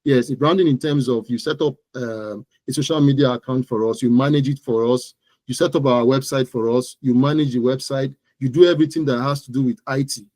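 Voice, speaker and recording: A Nigerian man speaks as an interview guest about his work. His voice sits at a middling pitch in a dry recording, and he stresses points by repeating his phrases. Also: fairly even intonation, brisk, darkish tone, dry recording. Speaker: interview guest, digital marketing entrepreneur. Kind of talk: conversational interview about own business.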